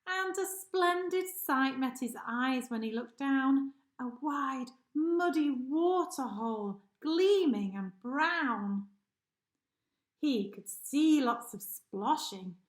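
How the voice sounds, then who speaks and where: A woman's voice: sits high, animated, takes it slowly. A woman reading a rhyming children's story aloud expressively, like a teacher addressing pupils. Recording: echoey room.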